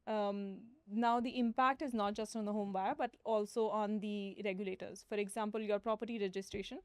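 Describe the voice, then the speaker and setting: A woman's voice: medium pitch, fairly even intonation, normal speed, clear, resonant tone, dry recording. A woman founder as a podcast guest, explaining steadily with frequent fillers. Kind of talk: conversational interview.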